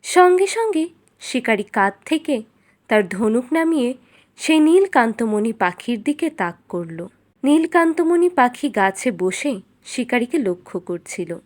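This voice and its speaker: High-voiced woman narrating a fairy tale. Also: big pitch swings, measured pace.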